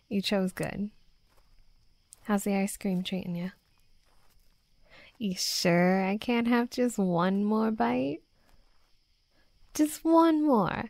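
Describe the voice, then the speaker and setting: Woman voicing a young female tsundere werewolf for roleplay audio: slow delivery, close dry sound, defensive, teasing, hot-headed but flustered. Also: medium pitch, creaky, no room sound. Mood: playful, teasing. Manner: halting, defensive, teasing, often dropping to soft murmurs. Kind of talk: intimate roleplay audio drama.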